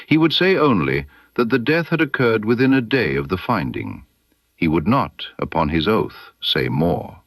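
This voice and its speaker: A deep-voiced man narrates a literary detective tale in a measured, formal way. His pitch moves expressively through the long scripted sentences, and the recording is dry and close. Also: big pitch swings, a bit bright, no echo. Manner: measured, formal storytelling delivery. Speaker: audiobook narrator. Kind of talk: scripted literary mystery narration in Victorian first person.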